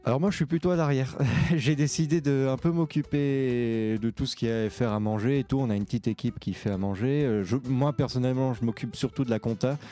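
A man's voice: mid-range voice, fairly even intonation, fairly quick, dark tone, faint music behind. A young man giving a casual, explanatory account in an informal documentary testimony. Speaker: participant in a theatre occupation.

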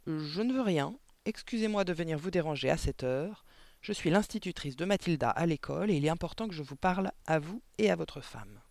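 A man's voice: fairly high pitch, lively intonation, a little fast, vocal fry, a little mellow, no room sound. A man voicing a young woman schoolteacher in a storybook, polite, articulate and insistent. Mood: polite, earnest.

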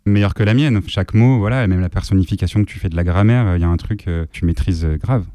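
Low-voiced relaxed male host. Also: quick pace, dry recording. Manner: relaxed, conversational, admiring. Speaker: radio show host. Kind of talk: interview conversation.

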